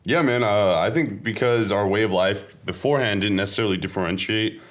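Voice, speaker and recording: Deep-voiced man musing in a panel talk. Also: brisk. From South Asia. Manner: thoughtful, hedging with fillers. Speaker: podcast co-host. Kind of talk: casual panel discussion.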